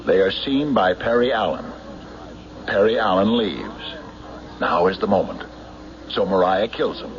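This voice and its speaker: Deep-voiced, dogged radio-drama sheriff. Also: male voice, a little slow, long pauses. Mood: urgent reasoning. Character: dogged, stubborn small-town county sheriff, man. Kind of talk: 1970s radio drama acting, logbook narration and dialogue. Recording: dull 1970s radio recording, music behind.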